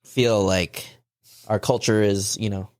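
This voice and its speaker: A man co-hosting a podcast in casual chat, relaxed and rambling, with lots of fillers and frequent laughs. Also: lowish voice, slow pace, dry recording, studio quality. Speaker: podcast co-host.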